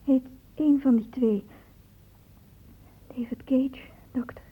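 A guarded young student in a radio play, anxious and loyal. Her voice is very high and breathy. It comes through a narrow, tinny old recording. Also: steady tone, slow, full character voice. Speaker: actor in a radio drama. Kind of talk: Dutch radio play acting.

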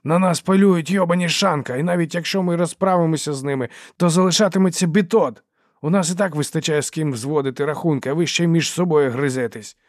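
A man's voice: a little high, fast, no echo, studio quality, voice pushed up, lightly acted. A man voicing a wary warrior scout in an audiobook, urgent and exasperated with his quarrelling comrades. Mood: exasperated urging.

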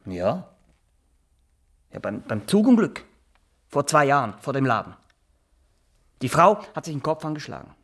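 An actor playing an eager, simple barber in a radio drama, halting and repetitive. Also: male voice, medium pitch, sing-song, a bit bright, exaggerated voice. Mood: morbid, proud. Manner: halting, repeats himself, insistent. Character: eager simple Swiss barber, boastful, morbidly fascinated. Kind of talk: naturalistic radio drama dialogue.

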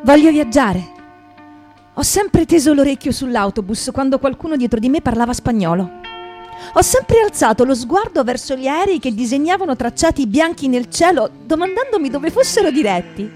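Female radio-theatre performer, soft warm timbre, dramatic reading delivery, light guitar bed. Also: sits high, sing-song, moderate pace. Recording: guitar music behind the voice.

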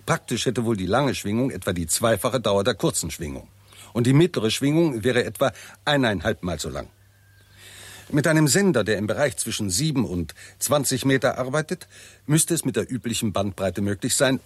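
An actor playing a confident, shrewd professor of astronomy, explaining precisely in a 1960s German radio drama style. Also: male voice, medium pitch, breathy edge, no echo. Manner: didactic, precise, self-assured.

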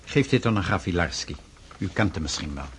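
An actor playing a solemn, fatherly freemason mentor in a radio drama, speaking in a measured, formal, deliberate way. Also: low-pitched, expressive, a little hiss or hum. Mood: grave, advising.

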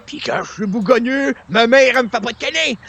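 A man's voice: extremely high pitch, expressive, a little fast, fairly bright tone, slight background noise, exaggerated voice. A man playing a cranky old grandpa neighbour with a Québécois accent, grumbling theatrically in a radio comedy. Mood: whiny, complaining. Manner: grumbling, theatrical, rambling chains of complaint. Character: cranky old grandpa neighbour who adores his mother.

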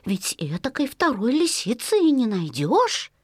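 A woman voicing a small scared hen in a folk tale, speaking in hushed, breathy disbelief. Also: on the high side, wildly swooping pitch, a little slow, no echo, clean studio sound, exaggerated voice. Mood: shaken disbelief.

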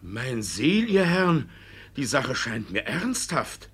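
An actor playing a shifty, corrupt village judge with theatrical, mock-serious bluster in a 1950s German radio comedy. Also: male voice, medium pitch, sing-song, takes it slowly, fairly bright tone, slightly rough, exaggerated voice. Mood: mock solemn. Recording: old 1950s radio recording.

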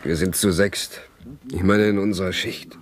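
An actor playing a bored, sardonic cynic who mutters sarcastic remarks in a science-fiction radio drama. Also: male voice, deep voice, steady tone, moderate pace, close to an everyday voice. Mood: dry, cynical. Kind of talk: 1970s radio drama dialogue.

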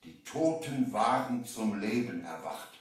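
A shaken merchant narrating a ghostly sea adventure in a 1970s radio play. His voice is fairly low and dramatic. It sounds distant and heavily echoed, like an old vinyl record. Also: animated, measured pace. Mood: grave, suspenseful. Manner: dramatic, storytelling. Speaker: narrator and lead in an audio drama.

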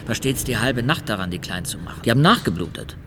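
An actor playing a coarse, self-pitying butcher turned killer in a radio drama, with a creaky voice and a muttering, confessional manner. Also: male voice, mid-range voice, animated, quick pace, noisy background, close to an everyday voice. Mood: bitter, grim.

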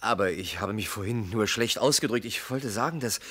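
A cornered salesman on trial in a radio drama. His voice is lowish and husky with a tense, sharp edge, swinging widely as he haltingly defends himself, flustered and anxious. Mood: uneasy, evasive. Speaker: accused man in a mock trial. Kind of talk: German radio play acting.

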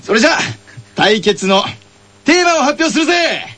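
A man's voice: very high voice, very animated, moderate pace, fairly bright tone. A man taking part in casual banter as a participant on a radio show.

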